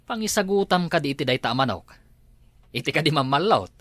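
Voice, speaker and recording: A man's voice: fairly high pitch, animated, a little fast, a bit bright, no echo. Nosy Ilocano man, dry sound. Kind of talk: comedy drama acting.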